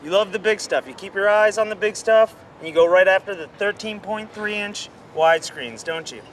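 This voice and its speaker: Guy acting smug deadpan security cop, pretty high voice, faint street noise outside. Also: a little fast, close to an everyday voice. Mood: smug, accusing. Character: smug, nosy fake security officer. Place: outdoor shopping plaza.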